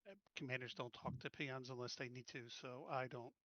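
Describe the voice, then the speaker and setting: A man with a mid-pitched, very forceful and bright voice talks casually through a tabletop game as a player. The recording is dry and a little dull, as on online voice chat. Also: fairly even intonation, fairly quick, no echo. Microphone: online voice chat microphone.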